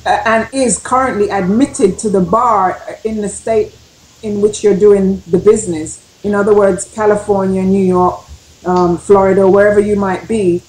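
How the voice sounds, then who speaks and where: A woman's voice: mid-range voice, darkish tone, reverberant, low-quality recording. A woman giving emphatic, step-by-step advice on a radio talk show, repeating her key points. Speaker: panelist giving legal advice.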